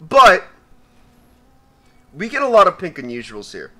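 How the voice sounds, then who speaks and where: A young man with a raspy voice giving casual, profane commentary while opening loot, swinging between mumbling and shouting. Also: high voice, huge pitch swings, a little slow, faint music behind. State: raspy from sneezing. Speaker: gaming YouTuber.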